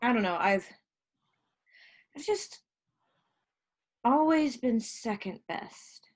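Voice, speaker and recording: High-voiced, hurt younger sister, acted by a woman. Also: lively intonation. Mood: hesitant, wounded. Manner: halting, emotional, profane. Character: hurt, insecure younger sister fresh out of rehab. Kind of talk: stage play acting. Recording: live stage recording.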